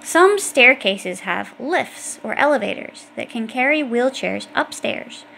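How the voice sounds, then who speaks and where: A woman's voice: on the high side, lively intonation, measured pace, gravelly, pushed and bright, slight background noise. A woman reading an educational children's book aloud, explaining things directly to young listeners. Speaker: audiobook reader.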